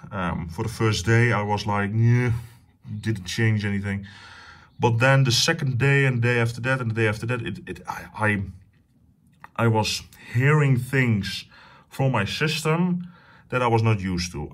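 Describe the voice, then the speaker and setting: A man from the Netherlands with a Dutch accent, casually showing his home stereo system, talking with enthusiasm and many fillers and restarts. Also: lowish voice. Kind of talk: casual home tour vlog. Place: living room at home.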